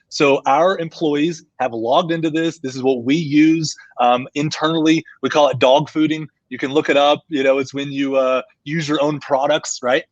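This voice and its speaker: A man's voice: a little high, no room sound. Brief: male CEO host, conversational, dry lo-fi mic. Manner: conversational, asks questions, walks through a screen share. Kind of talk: business live-stream discussion.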